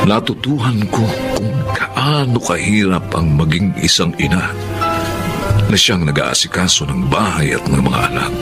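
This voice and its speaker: A humbled, earnest house husband in a radio drama, his voice very deep and his delivery slow. Loud music plays under his voice. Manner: hesitant, apologetic, then earnest. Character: remorseful jobless husband, now a house husband.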